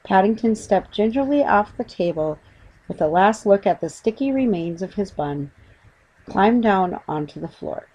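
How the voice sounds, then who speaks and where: A woman's voice: medium pitch, lively intonation, normal speed, warm tone, husky, rough recording. A woman reading a children's story as an audiobook narrator.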